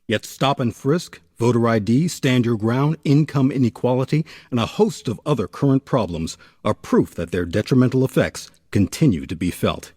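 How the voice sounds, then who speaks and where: A man hosting and narrating a scripted history documentary in a measured, formal way. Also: mid-range voice, darkish tone, slightly rough, dry recording, clean studio sound. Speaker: host and narrator.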